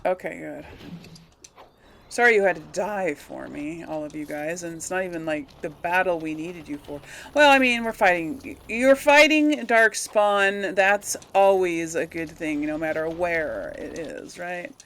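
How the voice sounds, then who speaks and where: A woman's voice: lowish voice, measured pace, a bit bright, a little hiss or hum. A woman giving casual, rambling video game commentary to her audience, chatting to the characters as she plays. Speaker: host of a video game let's play.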